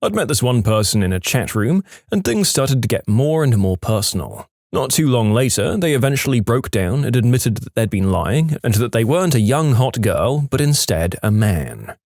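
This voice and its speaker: A man's voice: mid-range voice, big pitch swings, normal speed, few pauses, a little mellow, no room sound, clean studio sound. A man narrating read-aloud online stories in a scripted storytime style, as the host of a channel.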